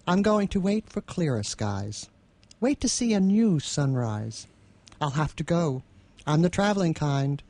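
A man's voice: a little high, warm tone, no echo. A man co-hosting a radio show, speaking in conversation and reciting verse. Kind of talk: conversational responses and poetry recitation.

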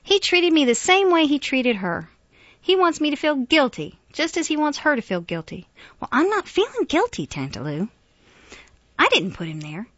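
A woman's voice: lowish voice, big pitch swings, a little slow, pauses often, no echo, dull audio. A woman voicing a frustrated, defiant male Southern schoolteacher, insistent and exasperated. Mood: exasperated defiance.

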